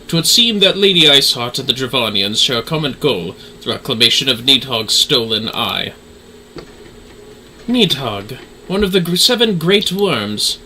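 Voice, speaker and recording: A man's voice: medium pitch, big pitch swings, normal speed, pushed and bright, slight background noise, rough recording. A man hosting a gaming livestream, chatting to viewers and reading game dialogue aloud.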